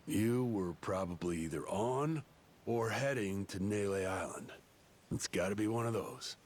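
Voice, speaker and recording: A man playing a gruff, suspicious middle-aged barkeep in a video game, with a sardonic, grudging manner. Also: fairly low pitch, unhurried, a little mellow. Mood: wry, explanatory. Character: gruff, suspicious barkeep father, former treasure hunter. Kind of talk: English video game voice acting.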